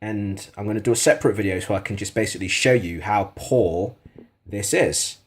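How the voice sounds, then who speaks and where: A man's voice: lowish voice, a little fast. A British man giving an informal, matter-of-fact product review to camera, speaking in a plain-spoken, complaining way. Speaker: product reviewer on a video channel.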